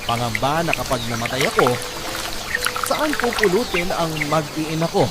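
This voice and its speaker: A man's voice: on the high side, sing-song, normal speed. A man reading a first-person scripted story as the narrator of a radio drama. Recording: loud music under the voice. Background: loud background music.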